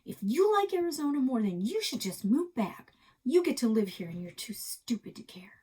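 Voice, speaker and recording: A woman's voice: on the high side, big pitch swings, normal speed, warm tone, slightly rough, pitched above the performer's own voice. A woman voicing an exasperated, argumentative child in a children's storybook, insistent and combative. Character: exasperated, argumentative child narrator arguing back.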